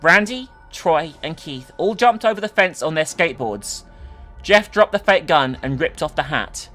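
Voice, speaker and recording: An online host giving a dramatic reading of a horror story, with animated, sweary commentary. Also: mid-range voice, normal speed, soft background music. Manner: animated, sweary, incredulous in asides.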